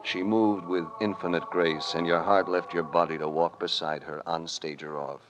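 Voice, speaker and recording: Man acting noir adventurer, American accent, deep voice, measured and sincere, faintly noisy vintage radio. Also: little pitch movement, dull audio, close to an everyday voice. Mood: brooding, wistful. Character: worldly, sympathetic American adventurer-detective hero, narrating his tale. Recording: noisy old radio recording.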